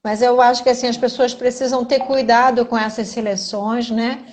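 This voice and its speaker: A woman from Brazil hosting a live talk for her students, speaking in a measured way as she sums up and thanks them. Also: a little high, flat delivery, a little mellow. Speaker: host, instructor. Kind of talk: live chat with audience.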